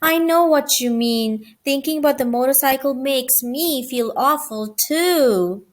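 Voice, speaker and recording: A woman's voice: high voice, unhurried. A woman voicing a small young male mouse in a children's storybook, chatty and curious. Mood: concerned. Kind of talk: children's audiobook character reading.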